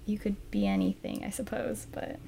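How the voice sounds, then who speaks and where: A woman's voice: mid-range voice, very animated, normal speed, creaky, warm tone, a little hiss or hum. A woman co-hosting a podcast, telling stories in a giggly way with plenty of fillers. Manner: giggly, filler-heavy storytelling. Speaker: podcast co-host. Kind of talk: casual podcast chat.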